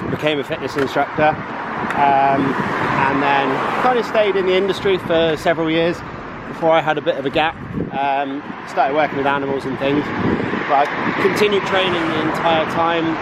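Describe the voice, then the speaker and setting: A man, a personal trainer talking through his day in a relaxed, rambling vlog with frequent ums. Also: on the high side, heavy background noise. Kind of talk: casual vlog narration. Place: home, car and outdoor walk.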